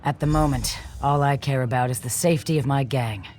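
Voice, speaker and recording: Brief: an actor doing a gruff, no-nonsense smuggler captain for a fantasy game, middle-pitched voice. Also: brisk, darkish tone, a little hiss or hum, lightly acted. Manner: threatening, then grudgingly cooperative. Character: tough smuggler captain guarding a ship. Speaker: game character.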